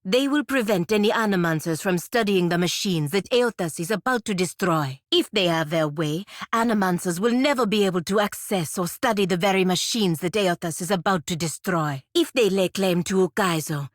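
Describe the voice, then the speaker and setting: An actress playing a proud, stern godlike warrior woman in a fantasy video game, speaking in formal, measured phrases. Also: mid-range voice, lively intonation, dry recording, studio quality, close to an everyday voice. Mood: stern, urgent.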